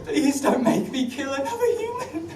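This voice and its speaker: A woman's voice: sits high, sing-song, normal speed, roomy sound, recorded from a distance, heavy background noise. A woman voicing a timid, peace-loving male envoy who stammers nervously.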